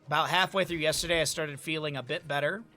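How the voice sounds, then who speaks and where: A hoarse man casting a live-streamed esports match, chatty and thinking aloud, often correcting himself. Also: a little high, fairly even intonation, fast talker, sharp tone.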